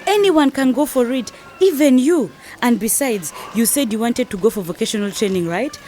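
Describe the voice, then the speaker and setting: A woman's voice: on the high side, lively intonation, normal speed, warm tone, a little hiss or hum, close to an everyday voice. A woman playing a determined, persuasive young wife in an educational radio drama, advising her friend with assured emphasis.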